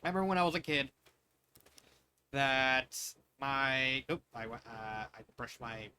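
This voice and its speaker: A man in his thirties or forties from the United States reading fan fiction with casual, halting, rambling tangents where he repeats phrases. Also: mid-range voice, fairly even intonation, pushed and bright, a little hiss or hum.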